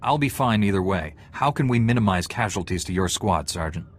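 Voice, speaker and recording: An actor playing a calm, confident young Jedi knight in a video game, direct and courteous. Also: male voice, low voice, a little fast, slightly rough. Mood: assured. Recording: music behind the voice.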